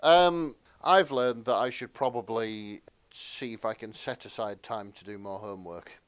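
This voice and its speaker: A man's voice: medium pitch, unhurried, smooth, no echo. A man co-hosting a podcast in casual chat, a little hesitant and repeating words as he goes. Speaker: podcast co-host.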